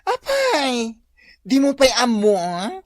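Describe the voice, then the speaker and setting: Swooping, teasing gossip. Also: female voice, fairly high pitch, wildly swooping pitch, slow pace, dry recording, studio quality, heavily acted. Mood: fretful, exclaiming. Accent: Ilocano. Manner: teasing, exclamatory, dramatic. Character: teasing, chatty, matchmaking female friend. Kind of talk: Ilocano comedy radio drama acting.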